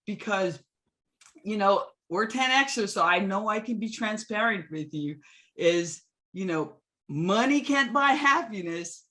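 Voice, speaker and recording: A woman's voice: mid-range voice, fairly bright tone. A middle-aged woman from Hawaii giving an enthusiastic webinar talk over a video call, often restarting her sentences. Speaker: guest speaker. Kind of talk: webinar presentation.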